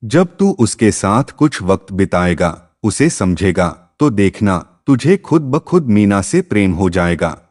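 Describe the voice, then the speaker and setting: A man plays a middle-aged mother in a moral story, caring and patient. She persuades and reassures at a slow pace. Her pitch moves widely through each phrase. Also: sing-song, darkish tone. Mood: reassuring. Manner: coaxing, reassuring. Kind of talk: acted dialogue in narrated moral story.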